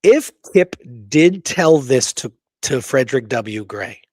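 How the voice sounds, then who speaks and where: A man co-hosting a casual history discussion, telling the story in an animated way with rhetorical questions. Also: mid-range voice, slow pace, no echo. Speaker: podcast co-host presenting history research.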